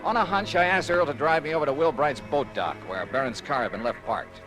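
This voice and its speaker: An actor playing a brisk, sharp American insurance investigator in a hard-boiled old radio detective drama. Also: male voice, fairly high pitch, over music, lightly acted, rough recording. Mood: matter-of-fact narration. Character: brisk, sharp-eyed male freelance insurance investigator hero. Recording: vintage radio drama recording.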